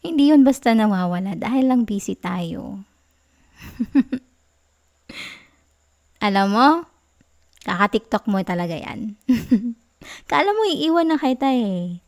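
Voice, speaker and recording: A woman with a Filipino accent playing a clingy, affectionate, playfully sulky girlfriend in an intimate ASMR roleplay, soft, cooing and teasing. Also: a little high, lively intonation, slow, long pauses. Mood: tender, teasing.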